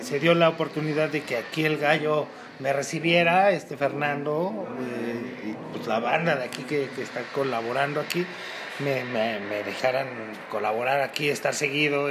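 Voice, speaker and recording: A man's voice: on the high side, noisy background. A man with a Mexican accent chatting as a guest in an easygoing, hesitant way. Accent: Mexican Spanish. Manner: easygoing, hesitant, many fillers. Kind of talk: casual interview chat.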